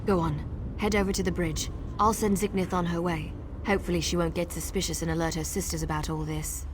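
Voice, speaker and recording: Woman playing a low-voiced, resolute elf guide. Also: steady tone, close to an everyday voice. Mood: guarded, instructing. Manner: measured, conspiratorial instruction. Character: pragmatic female wood elf guide, secretive and resolute. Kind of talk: video game quest dialogue. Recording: game dialogue over clear background noise.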